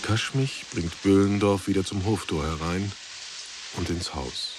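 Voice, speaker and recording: A man giving sober, measured literary narration in a radio drama. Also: low voice, background noise.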